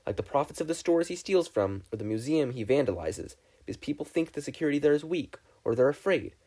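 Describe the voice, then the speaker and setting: A man's voice: on the high side, big pitch swings, fast, dark tone, pitched above the performer's own voice. A man voicing a blunt, stoic teenage boy student in a story reading, formal and firm. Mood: firm, disapproving.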